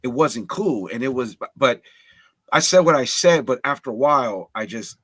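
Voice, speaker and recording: A man's voice: mid-range voice, expressive, moderate pace, a bit bright, husky, dry recording. A man from Los Angeles talking candidly on a livestream panel, slangy and full of restarts. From Los Angeles, United States. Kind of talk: casual chat.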